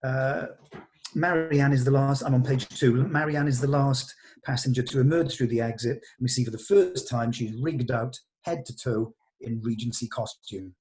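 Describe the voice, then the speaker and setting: Guy running a table read, medium pitch, matter of fact, reads out stage directions with some hesitation. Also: moderate pace, darkish tone, rough recording. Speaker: table read leader and narrator. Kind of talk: reading stage directions, informal discussion.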